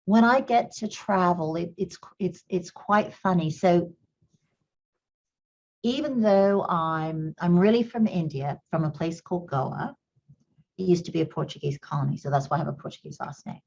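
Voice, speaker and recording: A woman's voice: on the low side, normal speed, creaky, darkish tone, dull audio. A middle-aged woman who grew up in Kenya, with a slight English accent, talks earnestly as an interview guest and repeats words while she thinks. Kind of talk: reflective interview talk.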